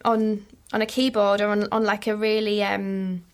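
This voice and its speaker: A woman vocal coach explaining singing in a relaxed podcast conversation, patient and full of examples. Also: mid-range voice, normal speed, clean tone.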